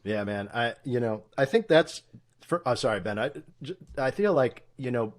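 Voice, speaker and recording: A man co-hosting an interview, speaking casually and sincerely in a halting, appreciative way. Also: mid-range voice, animated. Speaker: podcast co-host. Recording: faint background noise.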